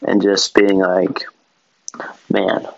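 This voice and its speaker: A man's voice: deep voice, monotone, drawn-out words, creaky, slight background noise. A young man chatting casually on a podcast in a laid-back, slangy way, calling people dude and bro. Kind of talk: casual chat. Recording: dull lo-fi sound.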